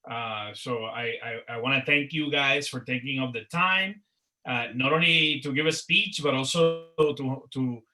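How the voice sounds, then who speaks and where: A man with a very bright, sharp voice gives closing thanks on an online panel. He rambles, repeating words and leaning on "uh" and "you know." He sounds like he is on a video call. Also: mid-range voice, a little slow, low-quality recording. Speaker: organizer of a career network panel. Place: Miami.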